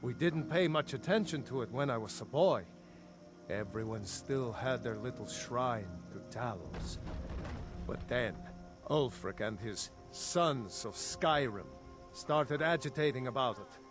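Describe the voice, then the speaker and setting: A man acts out a plain-spoken village smith from a fantasy video game. He is middle-aged, earnest and sure of his opinions, loyal to the empire, and his medium-pitched voice sits over clearly heard music. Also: expressive, a little slow, lightly acted. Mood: reflective. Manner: earnest, opinionated, matter-of-fact.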